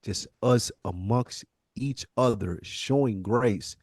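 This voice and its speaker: A man's voice: on the low side, slow, a little mellow, no room sound, clean studio sound. A man hosting a podcast, speaking earnestly and emphatically in casual, slangy talk. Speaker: podcast host.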